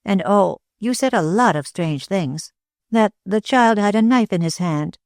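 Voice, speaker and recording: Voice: mid-range voice, expressive, unhurried, no echo, clean studio sound, voice pushed up. A reader voicing an anxious young woman who presses with urgent, faltering questions, in an audiobook.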